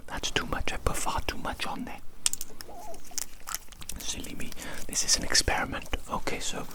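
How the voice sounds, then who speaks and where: Breathy whispering ASMR evil doctor. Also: moderate pace. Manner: repeats phrases softly, coaxing. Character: doting, chaotic evil doctor experimenting on a tiny organism. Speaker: ASMR performer.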